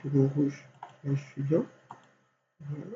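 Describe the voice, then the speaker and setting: A man with a cold teaching design software step by step, thinking aloud and filling gaps with constant voilàs. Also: mid-range voice, monotone, normal speed. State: has a cold. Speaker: software instructor. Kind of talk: screen-recorded software tutorial. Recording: dull lo-fi recording with faint hum.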